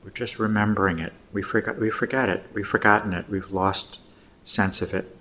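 A man teaching meditation, giving gentle, unhurried guided instructions with pauses. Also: lowish voice, fairly even intonation, a little hiss or hum.

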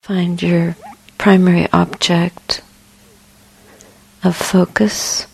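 A woman meditation teacher leading a guided meditation in a soft, breathy, gentle voice, unhurried and with long pauses. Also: fairly low pitch, flat delivery, low-quality recording.

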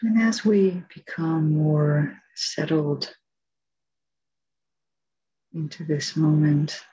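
A woman meditation teacher giving guided instructions in a soft, gentle, unhurried way. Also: low-pitched, husky. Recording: dull, muffled lo-fi recording.

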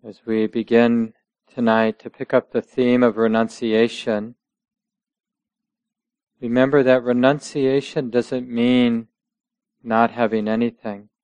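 A man teaching meditation, guiding listeners gently in measured short phrases with long pauses between them. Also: mid-range voice, little pitch movement, clear, resonant tone, no room sound, muffled recording. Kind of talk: guided meditation instruction.

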